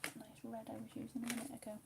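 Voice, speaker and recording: A woman chatting casually with her viewers while she colours, speaking softly, often half in a whisper and a little hesitant. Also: on the high side, completely flat delivery, rapid-fire, gravelly, background noise. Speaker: livestream host.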